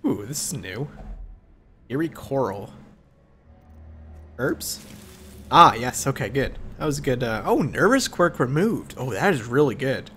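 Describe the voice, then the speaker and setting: A man's voice: mid-range voice, unhurried, pauses often, breathy edge. Man with a cold, casual game commentary. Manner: relaxed, thinking aloud with fillers. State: congested from a cold. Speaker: let's play host.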